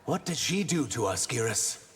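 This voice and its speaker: An actor playing an earnest, guarded young shapeshifting warrior in a video game. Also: male voice, medium pitch, sing-song, normal speed, a bit bright, slightly rough, full character voice. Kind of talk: video game character dialogue.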